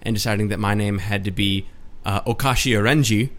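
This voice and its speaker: A man's voice: fairly low pitch, fairly even intonation, brisk, fairly bright tone. A man hosting a casual group chat, speaking with wry humor.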